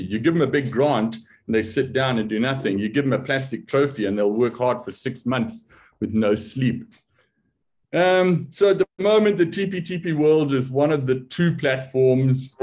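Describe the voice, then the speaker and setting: A man's voice: mid-range voice, darkish tone. An older man with a South African accent giving a conference talk in a loose, conversational way, with wry, self-deprecating humor. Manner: loose, conversational delivery with restarts. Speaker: academic speaker.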